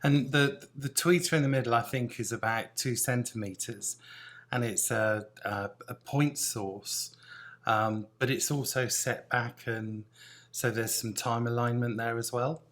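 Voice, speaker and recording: A man's voice: medium pitch, steady tone, unhurried, a bit bright. A middle-aged man hosting an interview, presenting product details and putting questions to a guest. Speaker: host, interviewer.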